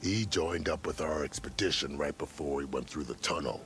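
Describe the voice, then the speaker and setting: An actor playing a plain-spoken, seasoned caravan scout in a video game, with a folksy, matter-of-fact manner. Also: male voice, extremely low pitch, normal speed, a bit bright, a little hiss or hum. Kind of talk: video game character acting.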